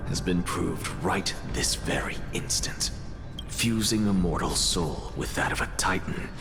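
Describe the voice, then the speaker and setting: An actor playing a calm, proud theorist in a game, speaking in measured, formal, intellectual monologue. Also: male voice, deep voice. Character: calm scholarly man, proud theorist obsessed with proving conjectures. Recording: long reverb, music behind.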